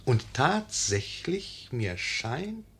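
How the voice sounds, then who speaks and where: A husky male voice with lively intonation as the narrator of a 1950s radio play. He muses wryly to his listeners, chatty and ironic. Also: medium pitch, very slow, exaggerated voice. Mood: musing, amused. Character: wry, musing narrator addressing the audience.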